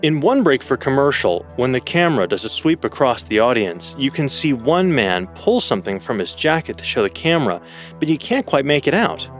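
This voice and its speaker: A man's voice: mid-range voice, sing-song, fairly quick, soft background music, natural voice. A man playing a businesslike radio producer in an audio drama, reporting in a formal, explanatory manner. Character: resourceful male radio producer, crisp and businesslike.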